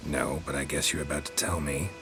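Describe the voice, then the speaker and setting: An actor playing a gruff, world-weary and terse monster hunter in a video game, speaking in a deadpan, gravelly murmur. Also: male voice, rumbling low, a little fast, over music. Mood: dry, terse. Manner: deadpan, terse, clipped sentences.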